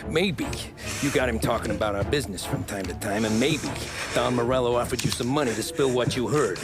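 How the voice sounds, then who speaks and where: An actor playing a cold, menacing 1930s American mob enforcer in a crime game, terse and insinuating. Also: male voice, medium pitch, brisk, over loud music, lightly acted. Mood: quiet menace. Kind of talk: cinematic crime game acting.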